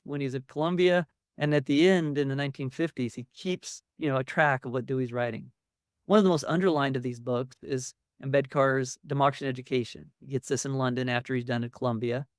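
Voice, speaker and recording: A male academic delivers a lecture in a mid-pitched voice. He explains ideas conversationally, stressing key words as he goes. The sound is dry, with very little room on it. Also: quick pace, smooth, dry recording. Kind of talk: public academic lecture with slides.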